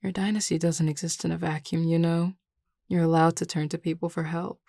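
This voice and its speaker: Woman doing a calm assured werewolf pack boss lady for audio rp, lowish creaky voice, wry n teasing but reassuring. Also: no room sound, clean studio sound. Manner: measured, assured, dryly wry. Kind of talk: intimate audio roleplay acting.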